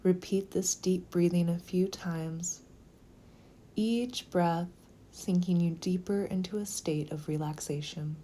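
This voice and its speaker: A woman leading a guided meditation in a slow, gentle, soothing manner, with phrases sometimes softening toward a whisper. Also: on the low side.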